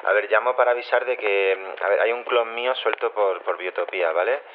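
Audio drama scientist played by a man: deep, halting, awkward, noisy phone-quality voicemail. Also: close to an everyday voice. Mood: awkward, hesitant. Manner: halting, flustered. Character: awkward, hesitant male cloning-lab scientist. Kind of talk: acted voicemail announcement. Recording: tinny noisy phone line.

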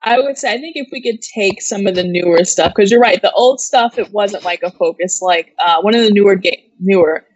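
A woman's voice: medium pitch, brisk, hardly any pauses, no room sound, muffled recording. A woman co-hosting an entertainment show, chatting casually about pop culture. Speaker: co-host of an entertainment news show.

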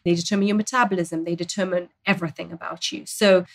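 A woman's voice: lowish voice, steady tone, fast talker. Earnest woman explaining nutrition. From Zambia. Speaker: dietitian guest. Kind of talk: expert explaining in interview.

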